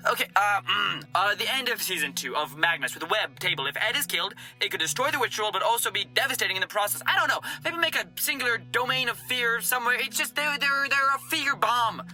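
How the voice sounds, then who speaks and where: A woman's voice: fairly high pitch, animated, fast talker, few pauses, sharp tone, faint music behind, poor audio. A woman playing a defiant, sarcastic, foul-mouthed occult researcher with a blunt, exasperated manner, in audio drama style. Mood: anxious, arguing. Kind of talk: dramatic audio roleplay acting.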